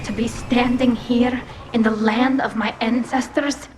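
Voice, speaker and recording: Woman playing a higher-pitched, solemn alien exile. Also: fairly even intonation, measured pace, music in the background, close to an everyday voice. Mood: solemn, sorrowful. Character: solemn female alien exile, earnest and sorrowful. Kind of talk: dramatic video game acting.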